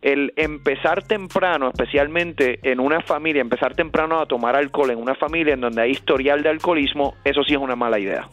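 A man from Puerto Rico, a doctor, calmly explaining a health topic as a guest on a radio show. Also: a little high, lo-fi sound, faint music behind. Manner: measured, explanatory, some hesitations.

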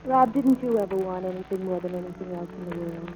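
An actress playing a patient, persuasive ranch wife and mother in 1940s radio drama style, gently insistent. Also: fairly low pitch, fast talker, lightly acted. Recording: noisy old radio broadcast.

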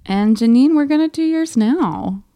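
A woman's voice: sits high, expressive, normal speed, mellow, dry recording, studio quality. A woman co-hosting a podcast in a casual, chatty book club style, talking and reading aloud from listener letters. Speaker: podcast co-host.

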